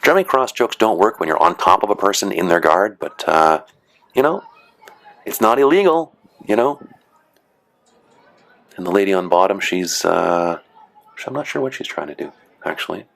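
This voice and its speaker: A man's voice: deep voice, big pitch swings, normal speed, gravelly, long pauses, fairly bright tone. A man casually narrating footage of matches he refereed, chatty and rambling with dry joking asides. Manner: rambling, chatty, frequent "you know" and "uh". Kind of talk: casual commentary.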